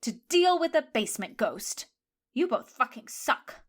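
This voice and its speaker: A woman's voice: high-pitched, very animated, a little slow, fairly bright tone, husky, full character voice. A woman playing a put-upon, indignant schoolgirl with a plaintive, protesting manner in an audio drama. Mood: hurt indignation. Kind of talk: scripted audio drama dialogue.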